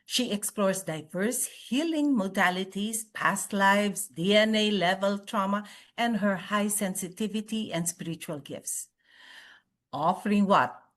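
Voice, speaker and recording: Woman host, friendly chat, halting with fillers, mid-pitched voice. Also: fairly bright tone. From Philippines. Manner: hesitant, frequent fillers, cheerful. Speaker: podcast host. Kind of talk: casual interview chat.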